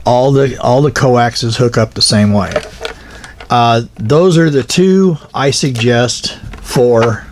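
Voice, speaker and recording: Male instructor, mid-range voice, practical and plain-spoken, talking through gear in a halting, informal way. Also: medium pitch, slight background noise. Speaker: instructor, pilot car operator. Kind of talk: informal equipment explainer.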